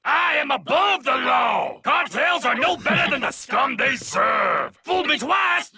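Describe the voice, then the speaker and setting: An actor voicing a bombastic, self-righteous patriotic politician in a fighting game, declaiming pompously like a stump speech. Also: male voice, very high voice, animated, measured pace, vocal fry, sharp tone, shouting, full character voice. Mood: blustering, righteous. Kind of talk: video game character battle lines.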